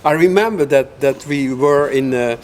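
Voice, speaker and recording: An older man leading an interview, asking questions in a halting, conversational way. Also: mid-range voice, darkish tone, slight background noise.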